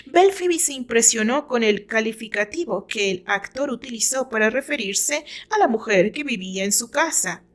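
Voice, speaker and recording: High-voiced Mexican woman narrating fiction. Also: animated, moderate pace, hardly any pauses. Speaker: author reading her own fiction. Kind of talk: dramatic scripted narration.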